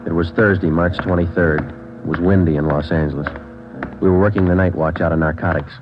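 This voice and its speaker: A very low-pitched, businesslike police sergeant, played by a man in a 1940s radio drama. He talks in clipped, deadpan, matter-of-fact phrases. The old recording is dulled. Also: fairly quick, highs cut off, lightly acted. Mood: deadpan narration. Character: terse, businesslike male police sergeant detective. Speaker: actor playing the detective lead and narrator.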